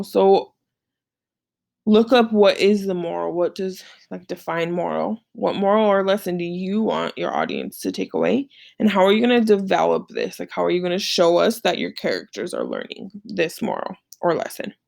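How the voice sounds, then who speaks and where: A woman's voice: on the low side, expressive, normal speed, gravelly, a little mellow. A woman teaching informally, walking students through an assignment in a conversational way and often checking in with short tag questions. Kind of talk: informal screen-share lesson, walking through slides.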